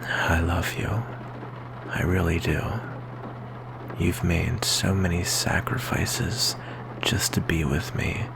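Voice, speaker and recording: A man playing a melancholy, insecure vampire boyfriend in an ASMR roleplay, speaking softly and hesitantly in a gravelly whisper. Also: deep voice, level tone, exaggerated voice. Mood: tender, puzzled. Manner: soft, hesitant, intimate, slow with pauses.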